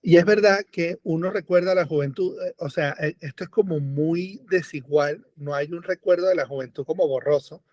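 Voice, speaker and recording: A Venezuelan-born man chatting as a radio guest, animated and full of fillers and rephrasing. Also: a little high, normal speed, hardly any pauses, dry recording. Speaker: guest, book editor. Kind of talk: casual radio conversation. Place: remote call or studio, lives in Europe.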